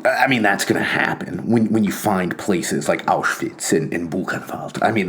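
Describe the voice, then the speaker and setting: A man talking off the cuff in a rambling, halting way, full of fillers and restarts, with a wry self-mocking streak. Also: sits low. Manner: wry, laughs at himself. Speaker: commentator on books and ideas. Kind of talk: casual monologue.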